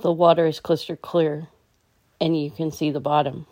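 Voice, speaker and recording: A woman guides a meditation in a low, soft-edged voice. Her tone is dark and mellow, and she gives each instruction gently and calmly. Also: moderate pace.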